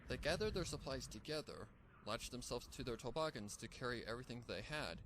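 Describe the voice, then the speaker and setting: A man's voice: medium pitch, expressive, fairly quick. A man reading a scripted story as a dramatic narrator. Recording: faint noise and ambience behind.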